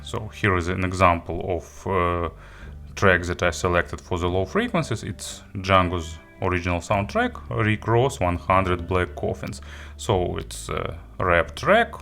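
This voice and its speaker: A man who is not a native English speaker gives an unscripted product review, haltingly, often searching for words and rephrasing. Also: low voice, expressive, vocal fry, a bit bright, faint music behind. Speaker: audio gear reviewer and host. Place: indoor studio.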